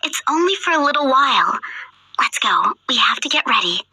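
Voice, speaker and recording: Young big sister, high and lively, soothing, resigned, dry game recording. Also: female voice, brisk, husky, no room sound, heavily acted. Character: gentle, dutiful young girl, big sister. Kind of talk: scripted video game voice acting.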